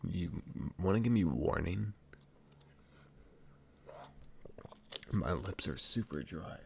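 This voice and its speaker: Very deep, creaky young man, acted, hesitant. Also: expressive, a little mellow, slight background noise. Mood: curious, hesitant. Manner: hesitant, murmured, with pauses and hums. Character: formerly bullied shy young man, now standing up, flustered. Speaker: ASMR roleplay performer. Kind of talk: ASMR boyfriend roleplay acting.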